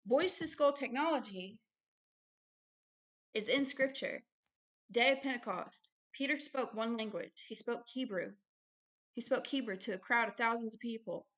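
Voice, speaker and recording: A woman's voice: on the high side, moderate pace, long pauses, a bit bright. A woman hosting religious commentary as she reads aloud and explains, speaking emphatically and repeating phrases for stress. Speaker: host, self-described prophet reading a book aloud with commentary.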